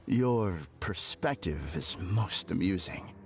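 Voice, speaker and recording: An actor playing an unsettlingly calm, formal villain in a game cutscene, speaking in a measured, courteous way. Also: male voice, sits low, creaky, heavily acted. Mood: serenely polite. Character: eerily serene polite man, unsettling villain. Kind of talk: video game cutscene dub.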